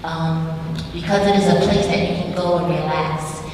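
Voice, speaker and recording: Woman from Connecticut at a public comment mic, low voice, big echoey chamber, a bit off-mic. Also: fairly even intonation, a little mellow, a little hiss or hum. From Connecticut, USA. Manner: plain, earnest, with pauses and fillers. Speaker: resident speaking at public comment. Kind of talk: public comment at a council meeting. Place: large council chamber. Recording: echoey hall, mic at a distance.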